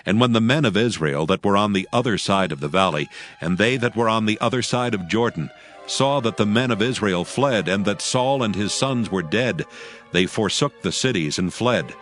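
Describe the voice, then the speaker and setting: Solemn low-voiced male scripture narrator. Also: fairly bright tone. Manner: solemn, measured storytelling. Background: music bed.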